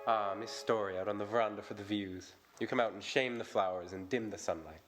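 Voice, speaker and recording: An actor playing a charming, flattering playboy in an audio drama who turns defensive and self-deprecating when questioned. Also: male voice, on the low side, fairly quick, music in the background, natural voice. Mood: wistful. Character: charming, self-pitying young male playboy suitor. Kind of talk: vintage-style radio drama acting.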